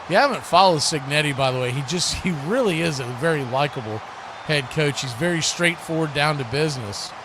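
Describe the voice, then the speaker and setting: American guy doing live football play-by-play, pretty high voice, clipped calls, noticeable background noise. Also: expressive, a little fast. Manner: clipped present-tense calls. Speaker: play-by-play commentator and watch party host.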